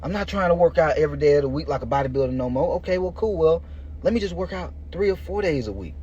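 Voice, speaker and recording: A man's voice: fairly high pitch, expressive, quick pace, dark tone, slightly rough. A man in his thirties hosting a fitness podcast, giving an informal motivational monologue in slangy, colloquial language aimed straight at listeners. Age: 30s. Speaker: podcast host and fitness coach. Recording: muffled, noisy lo-fi recording.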